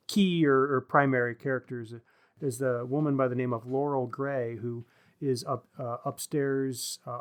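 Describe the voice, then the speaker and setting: Guy cohosting a book podcast, chatting casually, kinda stop-and-start as he finds his words. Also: mid-range voice. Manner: halting, searching for words. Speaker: podcast host. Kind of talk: book discussion, casual chat.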